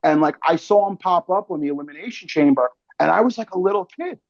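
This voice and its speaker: A man with a slightly high voice chats casually as a guest, telling stories with animation and plenty of slang and swearing. The recording is dry and a little dull. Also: quick pace, no echo. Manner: animated storytelling, swears freely; slangy, calls listener man and brother. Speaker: interview guest, actor and filmmaker. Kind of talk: casual chat.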